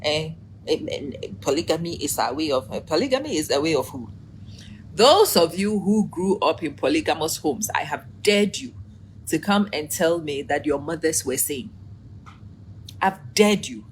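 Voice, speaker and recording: A low-pitched woman in her forties hosts a chatty live stream. She laughs often, greets viewers by name and sounds short on energy, with a faint hum of noise behind her. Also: animated, moderate pace, low-quality recording. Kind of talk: casual live-stream commentary.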